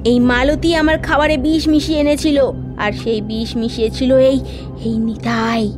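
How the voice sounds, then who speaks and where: An actress plays a dead mistress of the house returned as a stern, vengeful ghost. Her high female voice accuses in horror-cartoon style, over prominent music. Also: steady tone, moderate pace, close to an everyday voice. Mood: grim, accusing. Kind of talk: Bengali horror cartoon acting.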